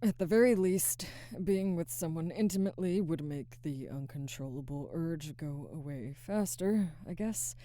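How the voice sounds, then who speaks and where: A woman playing a conflicted, protective alpha werewolf in an intimate ASMR roleplay, husky and halting, often slipping into a whisper. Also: deep voice, dark tone, no room sound, natural voice. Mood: awkward, reluctant. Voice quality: husky, breathy, frequently dropping to a whisper. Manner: halting, hesitant, sighing. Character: adult female alpha werewolf, conflicted, protective, barely restrained.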